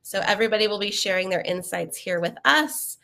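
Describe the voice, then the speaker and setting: A woman's voice: medium pitch, lively intonation, a little fast, creaky, sharp tone. A young woman, a bit sniffly from a cold, hosting a live webinar in a chatty, upbeat way with many ums and uhs. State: sniffly, getting over a cold. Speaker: webinar host.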